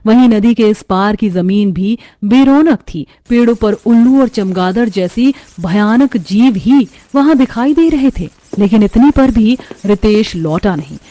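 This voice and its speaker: Mellow woman narrating a horror story. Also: mid-range voice, lively intonation, unhurried, hardly any pauses, no echo.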